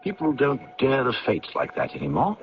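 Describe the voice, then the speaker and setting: A man's voice: medium pitch, wildly swooping pitch, fairly quick. A man acting in an old-time radio drama as a glib, evasive, outwardly chummy commuter with a breezy, emphatic manner. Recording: dull, hissy old radio recording.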